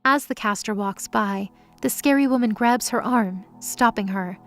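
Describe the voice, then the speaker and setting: A woman's voice: a little high, normal speed, faint music behind. A woman reading scripted narration for an audio drama story.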